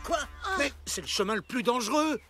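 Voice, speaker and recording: An actor playing a cheerful, encouraging caveman dad in a jovial, expressive cartoon style. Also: very high-pitched, normal speed, music in the background. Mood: eager, surprised. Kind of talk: French cartoon dubbing.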